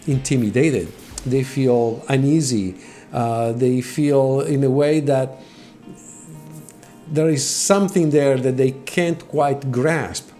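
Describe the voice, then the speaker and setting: Italian-accented middle-aged male host, earnest and direct, mid-pitched voice, faint music under him. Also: warm tone. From Italy. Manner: earnest, with repeated words and restarts. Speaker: channel host. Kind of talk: talking to camera, motivational explainer.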